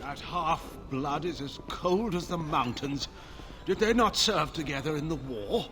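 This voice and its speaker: A man's voice: on the high side, lively intonation, measured pace, noisy background. An actor playing a dry, disdainful gossiping nobleman in a fantasy video game, speaking in a formal but conversational way.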